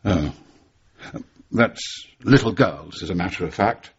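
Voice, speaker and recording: An actor playing a stern older British intelligence chief in a radio drama, gruff and brusque, with an English accent. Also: male voice, deep voice, slow pace, dull audio, full character voice. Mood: irritated. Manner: brusque, hesitant on personal matters, authoritative.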